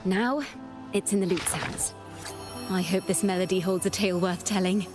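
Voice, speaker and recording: A woman's voice: mid-range voice, fairly even intonation, normal speed, natural voice. Woman acting a thoughtful bard investigator over music. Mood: intent, cautious. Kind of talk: video game voice acting.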